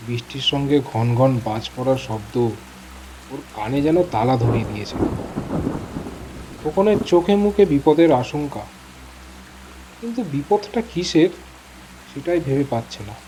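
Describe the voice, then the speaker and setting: A man's voice: medium pitch, normal speed, long pauses, background noise. A man narrating a horror story in a suspenseful, theatrical, dramatic manner.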